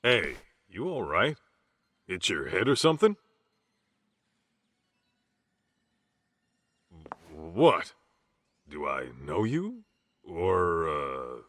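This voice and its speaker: An actor with an American accent playing a gruff, laid-back middle-aged ex-yakuza, blunt and slangy, in a game dub. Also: male voice, deep voice, huge pitch swings, slow, fairly bright tone, dry recording, full character voice. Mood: puzzled. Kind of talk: English video game dub acting. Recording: music behind the voice. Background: game music.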